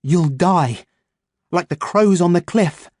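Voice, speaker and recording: A man voicing a fierce female crow leader, speaking higher and harsher, with venom in her voice. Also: takes it slowly, darkish tone, dry recording, studio quality. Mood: venomous.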